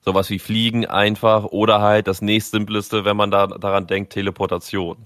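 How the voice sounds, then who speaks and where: A man's voice: on the low side, level tone, normal speed, a bit bright, no room sound, studio quality. A man co-hosting a podcast, talking eagerly and slangily in casual chat and prompting his partner. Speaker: podcast co-host.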